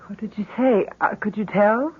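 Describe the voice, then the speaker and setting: A woman's voice: medium pitch, normal speed, slightly rough. An actress playing an anxious, hesitant middle-aged mother who tries to soothe, in the manner of 1970s radio drama. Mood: alarmed. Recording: dull, lo-fi 1970s radio recording.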